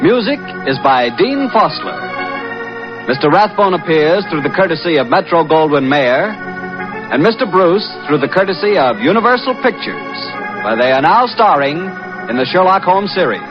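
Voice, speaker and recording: A man's voice: fairly high pitch, big pitch swings, moderate pace. A man with an American accent working as a radio announcer in polished 1940s style. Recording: muffled 1940s radio recording with music.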